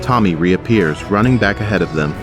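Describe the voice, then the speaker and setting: A man's voice: low voice, lively intonation, brisk, over music. A man narrating an action audio drama from a script.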